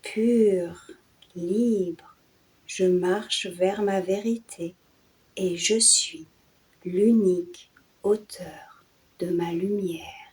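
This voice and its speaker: A woman guiding a yoga nidra and hypnosis meditation in a soothing, hushed way, in short phrases with breathy endings and long pauses. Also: fairly low pitch, fairly even intonation, poor audio. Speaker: meditation guide and channel host.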